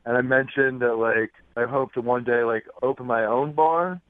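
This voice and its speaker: A man's voice: mid-range voice, steady tone, moderate pace, no room sound. Man on a tinny phone line. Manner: hesitant, peppered with "like". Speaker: radio show caller, bartender. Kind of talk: casual phone chat.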